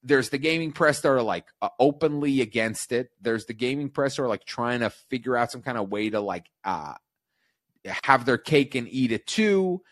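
A man's voice: mid-range voice, expressive, normal speed, a bit bright, no echo, clean studio sound. A man hosting a casual, opinionated podcast chat, speaking emphatically with swearing and repeating himself for effect. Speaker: podcast host.

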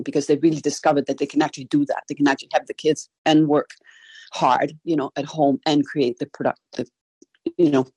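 A woman with a Danish accent talks briskly through a call-in panel, her voice slightly husky. The sound is dry and close, with no room around it. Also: deep voice, mellow, dry recording. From Denmark. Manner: brisk, frequent "right?" tags. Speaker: gender equality consultant. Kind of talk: casual panel discussion.

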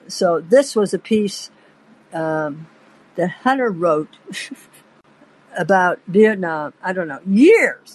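A woman's voice: on the low side, rough recording. An older woman hosting a podcast, telling long, digressive anecdotes in a chatty way and breaking off to laugh. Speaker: podcast host. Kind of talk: rambling anecdotal storytelling.

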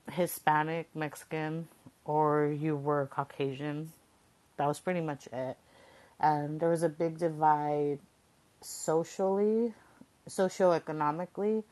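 A woman's voice: low voice, darkish tone. A young woman from Southern California, reflecting casually and conversationally, peppering her talk with "like" and checking in with "right?". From Southern California, Coachella Valley. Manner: informal, conversational, frequent 'like' and tag 'right?'. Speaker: podcast speaker.

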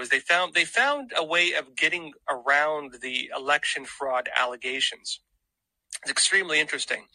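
A man's voice: a little high, sharp tone, no room sound, poor audio. A man giving informal explanatory commentary to an audience, emphatic and repeating key phrases.

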